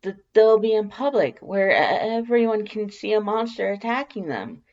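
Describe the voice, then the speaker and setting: A man's voice: high voice, big pitch swings, moderate pace, lo-fi sound, pitched above the performer's own voice. A man voicing a nervous, earnest female monster who stammers and speaks urgently in an audiobook-style story. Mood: urgent, indignant.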